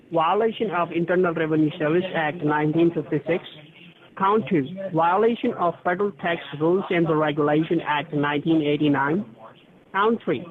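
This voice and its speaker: A man with a fairly high voice speaks through a narrow, tinny phone line. He sounds formal and officious, working through a script of procedures and instructions like an official. Busy chatter from other people fills the background. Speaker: phone scam caller posing as a tax officer. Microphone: telephone.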